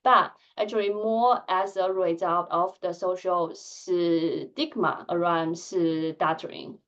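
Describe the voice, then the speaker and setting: A woman in her thirties who stutters, giving a candid conference talk with blocks and filled pauses. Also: lowish voice, lo-fi sound. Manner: soft-spoken, candid, measured. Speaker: conference speaker. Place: conference hall.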